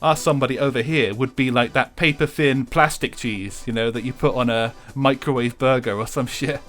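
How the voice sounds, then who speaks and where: A man's voice: mid-range voice, fairly even intonation, fairly quick, fairly bright tone, soft background music. A young English man chatting casually with his viewers while streaming a racing game, swearing freely and laughing often.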